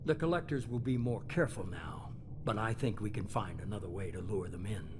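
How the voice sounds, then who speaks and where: A man's voice: lowish voice, lightly acted. Man acting a cool, calculating mastermind, noisy ambience. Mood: cool, approving. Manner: measured, cool, authoritative. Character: calculating, composed male mastermind of shadowy organization. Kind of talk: dramatic video game acting.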